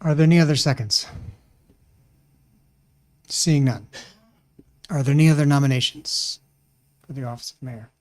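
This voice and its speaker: A man's voice: mid-range voice, moderate pace, long pauses, a little mellow. A man chairing a meeting in formal parliamentary style, making short procedural calls.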